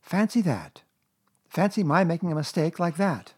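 A storybook reader voicing a brisk, firm, motherly female kangaroo, coaxing and mock-severe. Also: medium pitch, sing-song, a little mellow, husky, pitched above the performer's own voice. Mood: mildly surprised. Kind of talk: children's storybook character voice.

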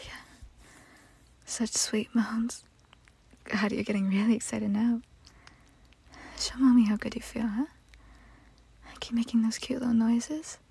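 A woman speaking in a soft, breathy whisper in an intimate roleplay style, slow and soothing. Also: fairly high pitch.

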